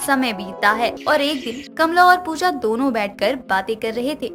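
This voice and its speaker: A woman's voice: high voice, expressive, normal speed, fairly bright tone, soft background music. A woman narrator reading a scripted moral bedtime story.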